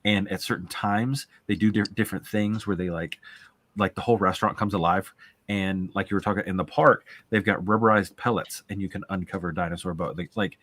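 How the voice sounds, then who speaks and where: Man, low-pitched, informal group-chat explainer with a halting delivery. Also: steady tone, a bit bright.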